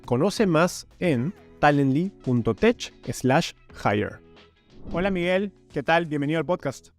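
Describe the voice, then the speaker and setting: A man's voice: a little high, lively intonation, unhurried, soft background music. A man hosting an interview podcast, presenting and asking questions. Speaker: podcast host. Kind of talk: scripted narration and podcast interviewing.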